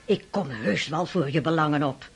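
A woman's voice: sits low, moderate pace, breathy edge, exaggerated voice. An actress playing a determined, ingratiating middle-aged princess in a radio drama, genteel, motherly and effusively persuasive. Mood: coaxing. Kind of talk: Dutch radio drama acting. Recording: noisy old radio drama recording.

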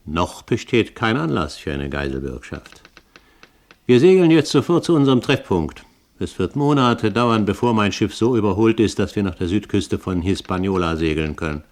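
Older pirate captain, very low pitch, superior, measured, calculating, radio drama. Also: male voice, lively intonation. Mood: commanding. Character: commanding, calculating older male pirate captain, a great-uncle. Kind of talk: German radio play acting.

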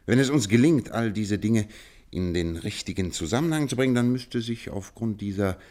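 A man acting a cool, analytical detective in a radio play, speaking in a measured, dryly ironic way. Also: lowish voice, animated, darkish tone, close to an everyday voice.

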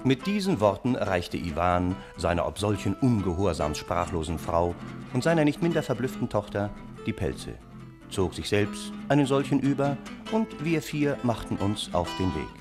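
A respectable gentleman narrator in a radio play. His lowish voice moves expressively through measured, courteous storytelling, with faint music behind. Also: very animated. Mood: genial storytelling.

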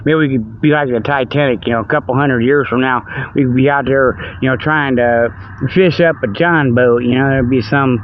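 A man's voice: mid-range voice, moderate pace, phone-quality sound, slight background noise. An older man hosting a hobby radio show, rambling in a folksy, joking, meandering way. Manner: folksy, meandering, chuckles at own jokes. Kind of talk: rambling casual monologue, joking. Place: outdoors by a river.

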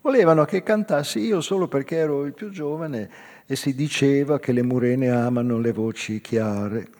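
An actor playing a melancholy old whaler in a measured, reflective theatrical monologue about his life. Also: mid-range voice, a little mellow, natural voice. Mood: reminiscing. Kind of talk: literary dramatic monologue. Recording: reverberant stage hall, guitar music behind. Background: live guitar music.

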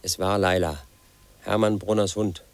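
An actor playing a brooding, paranoid man in his thirties, telling his own story as a flat inner monologue in a radio play. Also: sits low, normal speed, very noisy. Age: 30s. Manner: flat, brooding, quietly obsessive.

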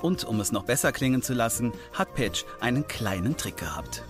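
A man's voice: medium pitch, sing-song, normal speed. A man reading a scripted documentary voice-over as a reporter. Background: music.

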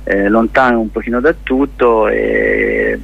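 A man answering in a radio interview as a festival director, halting and thoughtful as he searches for words. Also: lowish voice, wildly swooping pitch, vocal fry, husky, dull audio.

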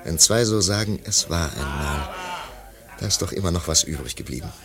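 A man in a German radio drama playing a decent, wistful gentleman, polite, reflective and a little hesitant. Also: low voice, lively intonation, moderate pace, a crowd in the background.

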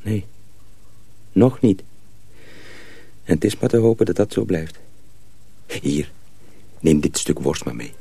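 A resigned, simple-seeming kitchen lad in a radio drama. He sounds dark and husky, with a heavy gravelly creak. His manner is good-natured and humble. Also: low voice, animated, slow, pauses often, heavily acted. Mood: cautioning.

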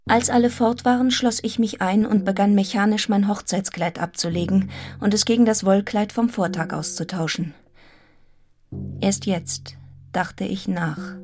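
Radio drama lead for an actress: wounded, resolute young governess, medium register, intense yet restrained narration, musical backing. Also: female voice, medium pitch, close to an everyday voice. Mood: subdued. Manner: restrained, intense, breaking into hushed fragments. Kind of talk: German radio play narration and acting.

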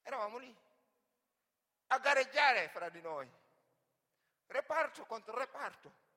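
An actor playing an earnest, wounded migrant worker in an emotive theatrical monologue. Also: male voice, extremely high pitch, lively intonation, unhurried, sharp tone, full character voice. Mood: anguished, frantic. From Senegal. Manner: emotive, storytelling delivery with repeated phrases. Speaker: stage actor and storyteller. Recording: reverberant hall.

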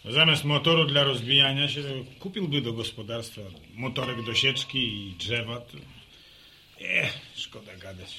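Practical farmer, grumbling, sardonic, piercing forceful tone, radio serial, faint noise. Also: male voice, mid-range voice, moderate pace. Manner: sardonic, short clipped remarks. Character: grumbling, teasing village farmer, practical and dry. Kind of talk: Polish radio serial acting.

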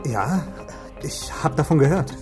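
A man's voice: fairly high pitch, animated, a little slow. An actor playing a guarded, subdued young male police officer in a naturalistic radio crime drama. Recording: faint music behind the voice. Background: music.

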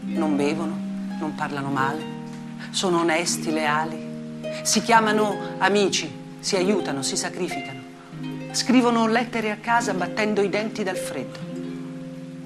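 An actress playing a grave, bitterly ironic woman journalist in a theatrical monologue, speaking deliberately in short, weighted phrases. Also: lowish voice, close to an everyday voice. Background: music.

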